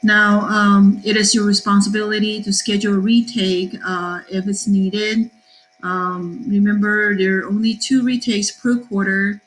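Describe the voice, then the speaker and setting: Cheerful middle-aged teacher from Taiwan. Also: female voice, medium pitch, little pitch movement, a bit bright, soft background music, rough recording. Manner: cheerful, with many fillers and pauses. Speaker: math teacher. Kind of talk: class introduction talk.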